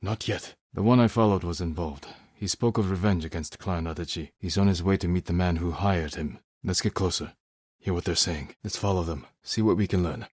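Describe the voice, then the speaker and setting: An actor playing a stern, honorable young samurai in a video game, speaking low and husky in a restrained, measured, terse way. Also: male voice, darkish tone, dry recording, clean studio sound. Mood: serious, concerned. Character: stern, honorable young samurai warrior, weary but resolute. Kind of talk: dramatic video game acting.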